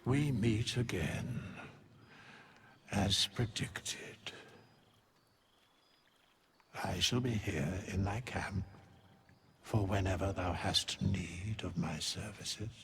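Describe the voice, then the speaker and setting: A man playing an ancient undead keeper of souls in a fantasy game, solemn and cryptic, with grave archaic speech and a gravelly voice. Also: low voice, slow pace, fairly bright tone, exaggerated voice. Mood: solemn, detached. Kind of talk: fantasy video game acting.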